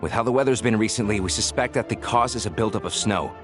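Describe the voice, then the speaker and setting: Man as formal young subordinate, fast-talking, urgent report, music clearly under him. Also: lowish voice, natural voice. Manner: formal and hurried. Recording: game dialogue over music.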